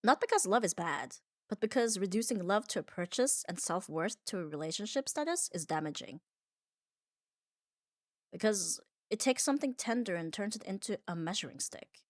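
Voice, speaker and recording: A woman's voice: medium pitch, animated, no room sound. Soothing woman, close and dry. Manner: reassuring, soothing, unhurried. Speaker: ASMR audio creator. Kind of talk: calm ASMR comfort monologue.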